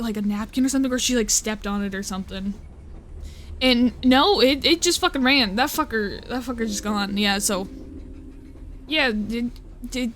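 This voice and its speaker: A woman's voice: fairly high pitch, a bit bright, slight background noise. A young woman streaming live, chatting casually and rambling, often cutting herself off and swearing. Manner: rambling, swearing, with self-interruptions and laughs.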